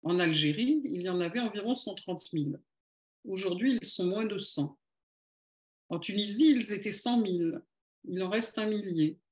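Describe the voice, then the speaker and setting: A woman's voice: medium pitch, normal speed, darkish tone. A woman giving a polemical lecture at an evening meeting, with sardonic, ironic asides.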